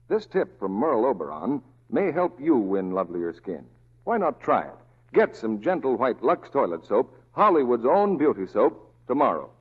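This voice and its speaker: A man delivers an old-time radio commercial with swooping, animated pitch. His voice is husky and the recording is muffled. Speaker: radio announcer and soap pitchman. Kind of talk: 1940s radio commercial announcing. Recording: dull vintage radio recording.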